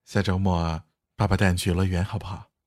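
A man acting a husband and stepfather in a Chinese audio drama, who can be cutting or gentle. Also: low-pitched, dry recording, clean studio sound. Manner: bitter and cutting to wife, gentle with child. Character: betrayed husband and doting stepfather, adult man.

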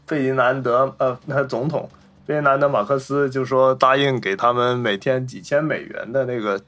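A man's voice: mid-range voice, steady tone, normal speed, fairly bright tone, soft background music. A man hosting a film podcast, chatting casually and telling anecdotes in a wordy, repetitive way.

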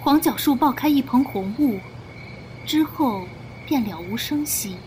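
A woman's voice: sits high, lively intonation, unhurried, background noise. A woman giving dramatic scripted narration for an audio drama. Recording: loud music behind the voice.

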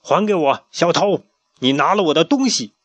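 A man voicing an angry floating ghost pharaoh in a bedtime storybook, slow, stern and loud. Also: fairly high pitch, lively intonation, pitched above the performer's own voice.

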